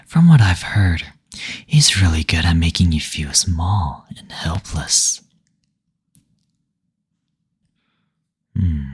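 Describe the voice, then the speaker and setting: A very husky, near-whispering male demon, played by a man, speaking low and unhurried. He is suave and courtly, teasing with coy endearments and lingering hums, as in an intimate roleplay audio. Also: big pitch swings, full character voice.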